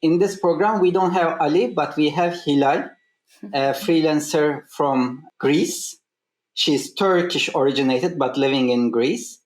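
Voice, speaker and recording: A man hosting a podcast, introducing his guest and asking plain, measured questions. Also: fairly high pitch. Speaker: podcast host. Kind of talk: hosting an interview. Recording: echoey room.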